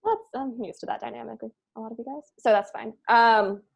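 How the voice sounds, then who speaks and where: A woman's voice: on the high side, a little fast, creaky, highs cut off. A woman leading a cast meeting in casual, rambling talk with an informal, jokey manner. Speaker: show creator leading a cast table-read meeting. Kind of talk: casual chat.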